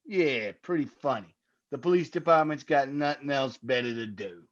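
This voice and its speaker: An actor playing a cynical, streetwise suspect in a 1950s-style radio crime parody, defiant, sneering and slangy. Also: male voice, medium pitch, normal speed, dull audio, lightly acted. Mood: scornful. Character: cocky, cynical male suspect, streetwise grumbler.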